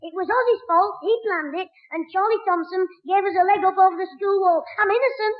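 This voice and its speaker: A man's voice: very high voice, fast talker. A man playing a cheeky, scheming schoolboy in a 1960s radio sitcom, blustering and stammering when cornered, on a muffled old recording. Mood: flustered. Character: cheeky scheming schoolboy, fibbing, quick to wriggle out.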